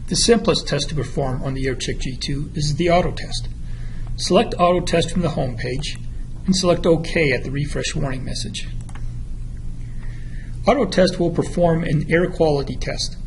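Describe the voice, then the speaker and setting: A man's voice: fairly high pitch, a little hiss or hum, rough recording. A man presenting a product demo in a methodical, explanatory instructional style.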